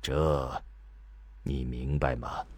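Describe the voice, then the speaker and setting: An actor playing an old scholar in a radio drama, patiently explaining to a youngster in a measured, halting, lecturing manner. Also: male voice, very deep voice, little pitch movement, darkish tone, breathy edge, dry recording, exaggerated voice. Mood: patient, grave.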